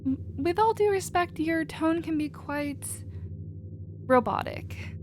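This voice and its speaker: A woman playing a gentle, emotional alien scientist in a scripted ASMR roleplay, earnest and expressive in manner. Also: very high-pitched, takes it slowly, slight background noise. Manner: soft-hearted, earnest, expressive.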